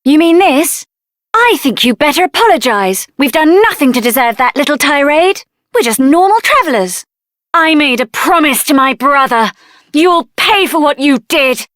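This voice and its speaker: A woman voicing a feisty, sassy, sharp-tongued young sorceress out for revenge in a video game. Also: high-pitched, very animated, moderate pace, no room sound, studio quality. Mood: indignant, then vengeful, defiant.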